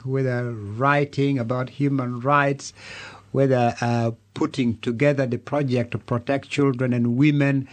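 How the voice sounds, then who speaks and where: A middle-aged man from Uganda, giving measured, deliberate interview answers and repeating phrases for emphasis. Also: mid-range voice. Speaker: candidate being interviewed.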